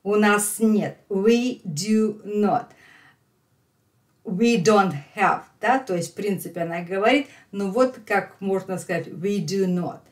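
A middle-aged woman with a Russian accent teaching English, explaining line by line and repeating phrases emphatically for learners. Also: mid-range voice. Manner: slow, emphatic repetition for learners. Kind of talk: lesson explaining a phone call line by line.

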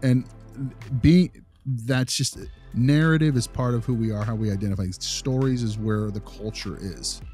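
A man's voice: medium pitch, normal speed, dark tone, soft background music. A man talking casually as a podcast co-host, emphatic and often breaking off to restart his thought. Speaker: podcast co-host, behavior analyst.

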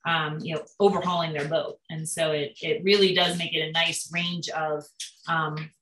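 A woman's voice: sits low, level tone, normal speed, fairly bright tone. A young woman answering interview questions over a video call, explaining steadily with frequent ums. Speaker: boat builder guest. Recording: roomy video call audio.

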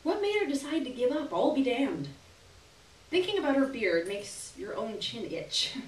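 Expressive young woman reading, roomy and distant. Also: a little high, moderate pace. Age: young adult. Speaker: performer reading a short story aloud. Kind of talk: live dramatic literary reading. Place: small gallery room, live stage reading. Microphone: stand microphone, recorded by distant camera. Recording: roomy, distant camera audio with hiss.

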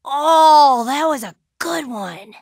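A woman's voice: sits high, animated, slow pace, vocal fry, a little mellow, dry recording, clean studio sound, full character voice. A woman voicing a brash, playful, laid-back young female gem warrior in a cartoon video game, with a cheeky, slangy, exclamatory delivery. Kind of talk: cartoon video game voice acting, short barks.

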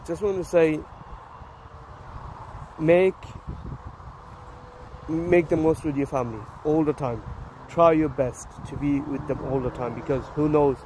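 Slightly hoarse man vlogging outdoors in a park. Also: medium pitch, a little mellow, poor audio. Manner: halting, many fillers and restarts. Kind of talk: casual selfie vlog. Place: open grassy park outdoors. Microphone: phone held at arm's length. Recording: outdoor phone audio with wind and traffic noise.

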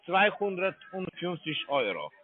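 A man talks over a tinny, narrow phone line in a somewhat high voice. He speaks halting, broken German, stumbling through fillers and restarts as he reads out instructions. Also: sing-song, breathy edge, no room sound. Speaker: phone scammer caller. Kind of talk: phone call.

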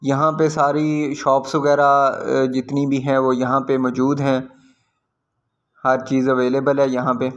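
A man's voice: mid-range voice, completely flat delivery, a little slow. A man with a Pakistani accent giving informal, explanatory commentary on a property site visit as a real estate video host.

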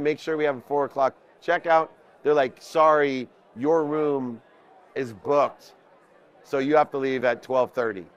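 A man's voice: a little high, lo-fi sound. A man in his fifties giving an impromptu business talk as a coach, emphatic, listing points in parallel phrases. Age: 50s. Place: indoor convention booth with onlookers. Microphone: small mic clipped at the collar.

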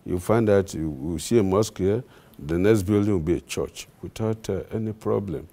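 A Nigerian man with a low, gravelly voice and a dark, mellow tone. He is a traditional ruler answering interview questions, pausing often and filling gaps with hesitations. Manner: halting, many fillers.

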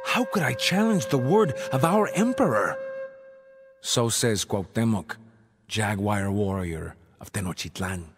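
Solemn Aztec warrior storyteller over music. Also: male voice, fairly low pitch, expressive, measured pace. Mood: solemn, grave. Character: proud, grave Aztec jaguar warrior recounting his chronicle. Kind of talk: scripted dramatic narration for a game cutscene.

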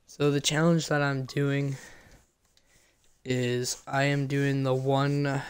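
A man commentating casually as he streams a game, hoarse and losing his voice from being sick. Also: medium pitch. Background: game music.

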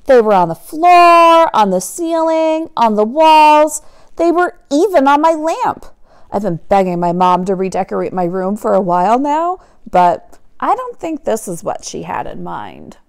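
A woman with a fairly high voice reads a picture book aloud to children. Her pitch swings widely and she takes her time, stretching key words for dramatic effect. It is an animated, expressive teacher's read-aloud. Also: a little slow, smooth.

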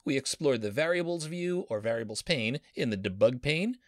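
A man's voice: medium pitch, animated, moderate pace, no room sound, clean studio sound. A man teaching a coding lesson as a professor, playful and enthusiastic, with pop-culture jokes. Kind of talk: screen-recorded coding lesson.

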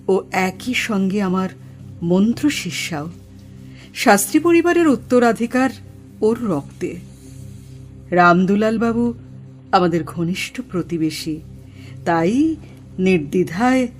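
An actress voices a dignified woman who reads horoscopes and summons spirits. She speaks slowly in formal, solemn phrases, with music under her voice, as in a horror radio play. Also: medium pitch, a little mellow, close to an everyday voice. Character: grave, dignified female astrologer and spirit medium. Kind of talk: Bengali horror audio drama acting.

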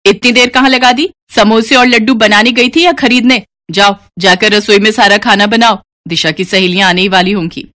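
A woman's voice: mid-range voice, steady tone, normal speed, hardly any pauses, bright, forceful tone, natural voice. A woman voicing a spiteful, hot-tempered daughter-in-law in a cartoon story, scolding and domineering. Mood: angry scolding.

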